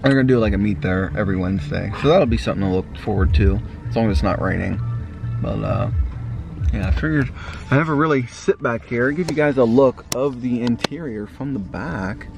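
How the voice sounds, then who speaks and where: A young man talks casually to a camera inside a car, with music behind him. His pitch is average for a man, and his speech is slightly impeded by braces. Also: fairly quick, creaky. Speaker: car vlogger. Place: inside a parked or driving car, some outdoors in a parking lot.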